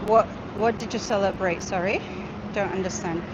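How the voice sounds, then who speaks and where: A woman's voice: medium pitch, fairly even intonation, normal speed. A woman from Indonesia, a travel vlogger, chatting loosely with fillers while walking a city street on a livestream and answering viewer comments. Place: busy city street outdoors. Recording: slightly muffled with loud traffic.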